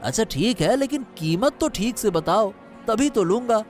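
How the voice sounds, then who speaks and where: A man's voice: sits high, animated, moderate pace, a little mellow, soft background music. A man voicing a well-off village customer in a cartoon story, talking in a familiar, insistent, bargaining way. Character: haggling, well-off village male fish customer. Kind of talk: Hindi cartoon moral story dialogue.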